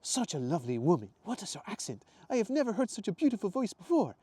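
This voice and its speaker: A man voicing an excitable, sincere Italian traveler with an Italian accent, earnest and effusive, in a storybook reading. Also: sits high, fast, breathy edge, no echo, voice pushed up, exaggerated voice. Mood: sincere, grateful.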